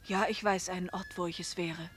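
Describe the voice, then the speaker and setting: A woman's voice: on the low side, fairly even intonation, a little fast, natural voice. An actress playing a restless, discontented wife of a fisherman in a German radio play, longing to get away. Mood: yearning, insistent. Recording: clearly noisy, farmyard-like bird ambience behind.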